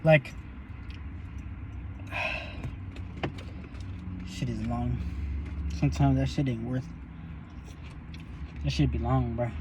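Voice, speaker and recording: A man's voice: medium pitch, steady tone, takes it slowly, vocal fry, pauses often, heavy background noise. A young man talking while he eats in a parked car, in a loose, rambling and profane way for his vlog. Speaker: vlogger. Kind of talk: casual eating vlog monologue.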